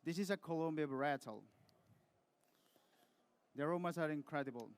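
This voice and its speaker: A man's voice: on the high side, smooth. Peruvian barista man presenting to judges. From Peru. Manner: measured, rehearsed delivery with short pauses. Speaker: competing barista. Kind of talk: scripted competition presentation to judges.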